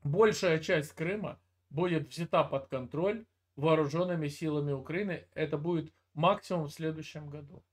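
An older man with a notably high voice lectures and scolds in a heated video chat. He talks into an earphone cable mic from a room at home, often cutting in to finish his point. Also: normal speed, few pauses. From Russia. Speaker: video chat channel host. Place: indoor room, video call.

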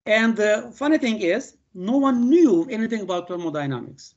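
Older Turkish professor, non-native English, lecturing over a video call, halting delivery full of fillers, dull lo-fi sound. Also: male voice, high voice, lively intonation. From Turkey. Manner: halting, many "uh" fillers and "okay" checks. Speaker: university professor. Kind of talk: online lecture.

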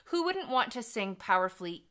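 A woman's voice: fairly high pitch, very animated, a little fast, pushed and bright. A young woman, a voice teacher and co-host, thoughtfully explaining vocal technique in casual chat on a couch. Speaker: voice teacher and reaction video co-host. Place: living room studio, seated on a couch.

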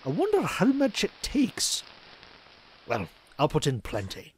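A cranky old miser of a cartoon duck, swinging widely in pitch as he scolds. He fusses in old-timey exclamations at an unhurried pace. The recording has a light hiss and clicks. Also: huge pitch swings, poor audio. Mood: weary, grumbling. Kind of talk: comic dub cartoon acting.

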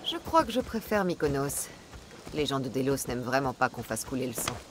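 Une actrice de doublage jouant une guerrière mercenaire posée et pince-sans-rire dans un jeu vidéo. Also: female voice, a bass voice, very animated, a little fast, noisy background. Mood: composed, determined. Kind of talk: dubbed video game acting.

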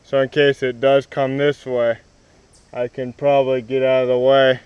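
A young man talks through a job out in a wooded yard, stopping and restarting often with plenty of ums and uhs. His voice is very smooth and clear and stays flat in pitch. The sound is dry and close, picked up by an action camera set on the ground. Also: no room sound, highs cut off. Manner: halting, many fillers and restarts. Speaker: vlogger. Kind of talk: casual explanation while working.

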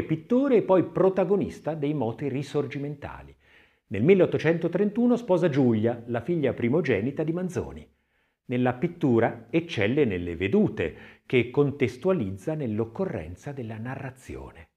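Expressive male narrator with a lively pitch range. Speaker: audiobook narrator. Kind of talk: scripted literary narration, expressive.

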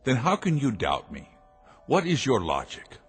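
An actor playing a calm, persuasive inventor and master of the house in a radio drama, speaking in a measured, formal way. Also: male voice, mid-range voice, breathy edge, soft background music, exaggerated voice. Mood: insistent.

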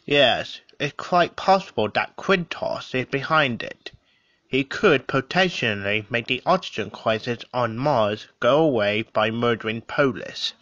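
A man playing a defensive gentleman suspect in an audio drama, formal and earnest. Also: mid-range voice, lively intonation, a little slow, dry recording, muffled recording. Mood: assertive. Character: defensive, well-spoken gentleman murder suspect. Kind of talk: scripted audio drama acting.

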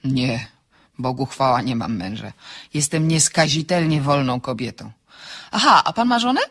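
An actress playing a witty, outspoken single woman in an audio drama, bantering briskly with polite irony. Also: rumbling low, animated, a bit bright, husky, heavily acted. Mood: dry, teasing. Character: witty, outspoken single woman writer, teasing and self-ironic. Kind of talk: Polish audio drama dialogue.

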